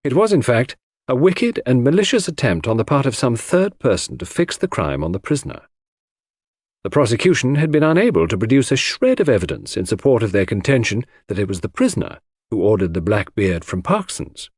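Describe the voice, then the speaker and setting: A man reading classic crime fiction as a scripted audiobook, in formal, measured storytelling. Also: mid-range voice, very animated, husky, no room sound, clean studio sound. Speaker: audiobook narrator.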